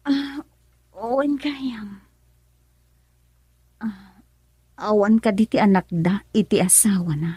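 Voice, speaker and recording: An emotional young wife in an Ilocano radio drama. She has an Ilocano accent and a mid-pitched, slightly husky voice, recorded close and dry. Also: slow pace, long pauses, mellow, no echo. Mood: warm chatting.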